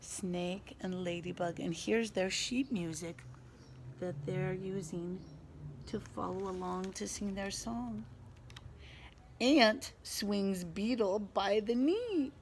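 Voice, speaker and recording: Woman, children's read-aloud, teacher, unhurried pace, slightly creaky voice, animated explanations, noisy background. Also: on the low side. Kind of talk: expressive picture-book read-aloud with asides.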